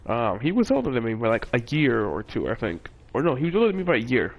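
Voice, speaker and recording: Young man, casual storytelling, rambling, lots of fillers, middle pitch. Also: very animated, fast, muffled recording. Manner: rambling, with frequent fillers and self-corrections. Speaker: vlogger.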